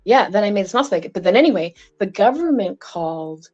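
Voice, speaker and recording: A woman's voice: medium pitch, animated, brisk, darkish tone. A middle-aged woman from Canada telling a meandering personal story in casual chat. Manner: chatty, meandering, trailing off. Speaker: guest. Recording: dull lo-fi sound with faint music.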